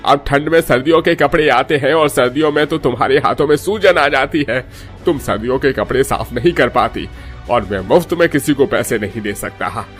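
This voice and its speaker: A man's voice: mid-range voice, brisk, a bit bright, soft background music, close to an everyday voice. A man playing everyday working men in a Hindi cartoon story, earnest and complaining. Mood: firm, dismissive. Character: poor worried father and unyielding laundry boss.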